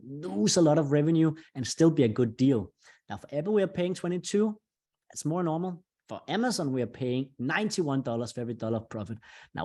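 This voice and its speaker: A man's voice: medium pitch, darkish tone. A man presenting an investor webinar, explaining step by step in a conversational way.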